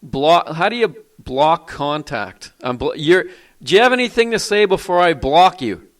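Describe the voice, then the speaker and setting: A man hosting a call-in show, brisk and dry, dismissive of prank callers. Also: on the high side, lively intonation.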